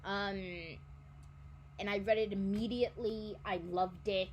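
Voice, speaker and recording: A young woman in her twenties talks casually and rambles, often stopping to correct herself mid-sentence. Her voice sits at an ordinary pitch for a woman. A faint hum runs underneath. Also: steady tone, a little slow, darkish tone. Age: 20s. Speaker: book vlogger. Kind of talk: casual chat.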